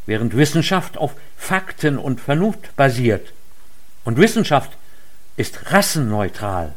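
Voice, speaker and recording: A man delivering a formal lecture in a measured, earnest way. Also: medium pitch. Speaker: lecture speaker. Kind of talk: formal read speech.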